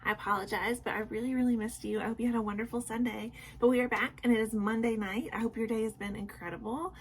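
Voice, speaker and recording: Young woman, sore-throated and sick, casual home vlog, apologetic, filler-heavy, slightly high voice, faint room ambience. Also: fairly even intonation, fast, slight background noise. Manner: apologetic, chatty, many "um"s and "like"s. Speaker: vlogger. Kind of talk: casual nightly vlog chat. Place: at home indoors at night.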